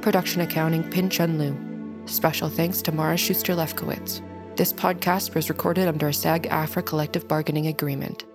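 Low-voiced woman reading credits. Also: flat delivery, brisk, over music. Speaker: credits announcer.

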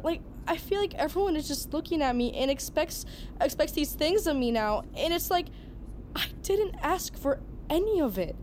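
An actress playing a sulky teenage daughter with a slangy drawl in an audio drama. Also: female voice, sits high, expressive, normal speed, darkish tone. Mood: earnest, hurt. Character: sulky teenage daughter glued to her phone. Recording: noisy airplane cabin ambience.

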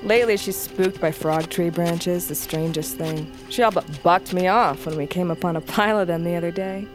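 A woman's voice: lowish voice, over music, close to an everyday voice, low-quality recording. Woman acting a composed, wry young huntress. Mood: earnest, gentle. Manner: measured, wry, formal archaic phrasing. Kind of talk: dramatic fantasy audio drama acting.

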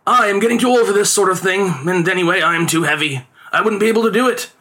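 A man's voice: sits high, fast talker, bright, forceful tone, voice pushed up. A man voicing an anxious old male street conjurer who frets and pleads in a theatrical way. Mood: urging.

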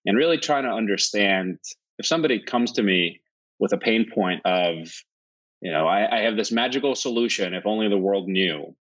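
A man from Iceland, a company founder answering interview questions in a steady, thoughtful way, often listing points in runs. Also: fairly low pitch, normal speed, highs cut off. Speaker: guest, tech founder.

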